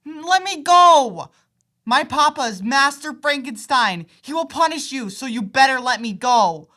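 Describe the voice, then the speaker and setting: A woman acting a frightened, defiant small boy in a radio play, crying out in drawn-out protest. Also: sits high, expressive, bright, forceful tone, full character voice.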